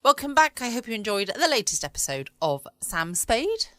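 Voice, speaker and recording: Casting: woman for bedtime podcast, bright animated voice, very dry sound. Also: fairly high pitch, normal speed, no room sound. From United Kingdom. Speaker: podcast host. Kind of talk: late-night bedtime podcast chat. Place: home, dry close recording.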